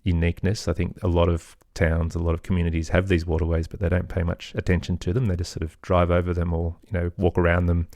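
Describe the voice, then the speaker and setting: A man's voice: deep voice, monotone, fast, hardly any pauses, darkish tone, no echo. A middle-aged man giving reflective, loosely phrased answers as a guest in an interview. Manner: reflective, loosely phrased, hedging with "sort of" and "kind of". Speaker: podcast guest, musician and storyteller.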